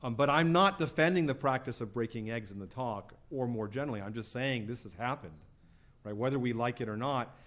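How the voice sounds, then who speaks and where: A man's voice: mid-range voice, brisk, fairly bright tone. A middle-aged man, a law professor, answering audience questions after a lecture in a casual, conversational way, often adding "right?" and "you know". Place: lecture hall.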